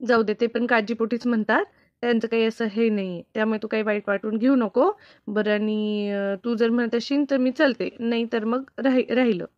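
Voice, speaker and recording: A woman voicing a gentle, sisterly village friend in a cartoon, soothing and a little hesitant, with a rural Maharashtrian Marathi accent. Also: a little high, steady tone, normal speed, no room sound, natural voice. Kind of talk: cartoon comedy dialogue. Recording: dull lo-fi, dry close sound.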